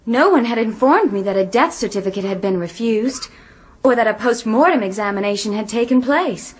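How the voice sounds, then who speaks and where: An actress playing an accused Victorian wife addressing a court in a radio drama, formal and measured. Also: female voice, on the high side, slightly rough.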